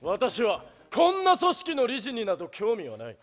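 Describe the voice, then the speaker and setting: A man's voice: extremely high pitch, wildly swooping pitch, moderate pace, exaggerated voice. A man playing a proud, stiff rival prosecutor with formal declamatory delivery in a stage play. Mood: grave. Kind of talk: theatrical stage play acting. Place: theatre stage. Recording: theatre recording with music behind.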